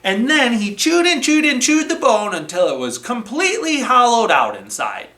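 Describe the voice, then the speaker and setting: A man reading a storybook aloud to children as a storytime host, expressive, with dramatic pauses and emphasis. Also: very high-pitched. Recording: roomy, echoing room sound.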